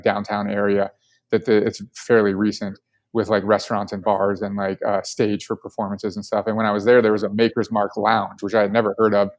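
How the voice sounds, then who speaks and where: A man from Colorado, the guest co-founder, answering questions casually and with enthusiasm, with lots of filler words. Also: lowish voice. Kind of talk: casual podcast interview.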